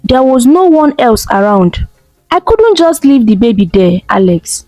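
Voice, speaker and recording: Woman doing a gentle teary young wife, slow, dry close sound. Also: on the high side, very animated, no echo, faint music behind, rough recording. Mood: tender. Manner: soft, heartfelt. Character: young woman, tender and tearful.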